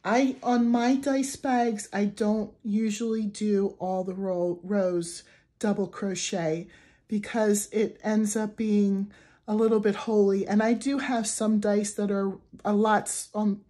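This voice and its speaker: Raspy-voiced woman, mellow tone. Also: mid-range voice, steady tone, slow. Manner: chatty, with filler words and restarts. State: raspy from the flu. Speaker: crochet tutorial host. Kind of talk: casual craft tutorial. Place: indoors at a wooden table. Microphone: camera over a tabletop, hands only.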